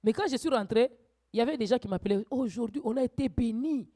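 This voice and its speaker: A woman preacher teaching a church sermon, swinging from quiet asides to loud, urgent exhortations. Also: a little high, quick pace.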